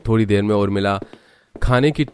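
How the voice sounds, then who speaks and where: Low-voiced man narrating a story. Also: brisk, darkish tone, slight background noise. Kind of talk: scripted storytelling narration for Hindi cartoon.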